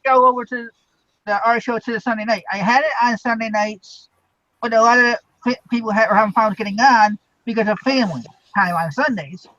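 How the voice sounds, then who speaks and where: A man hosting a casual live stream over a video call, talking in a quick, rambling way. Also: sits high, bright, forceful tone, no echo. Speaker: show host. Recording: dull dry lo-fi audio.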